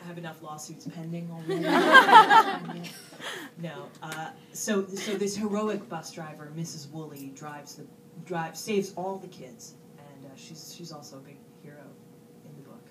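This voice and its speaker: A lower-voiced woman author rambles through a funny answer, her pitch swooping widely. She breaks off mid-sentence and jokes at herself, heard from across a bookstore with a loud crowd around her. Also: animated, measured pace, far from the mic. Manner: rambling, self-interrupting, jokey. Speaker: author on a panel. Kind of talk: comic storytelling to an audience. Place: bookstore event with a live audience.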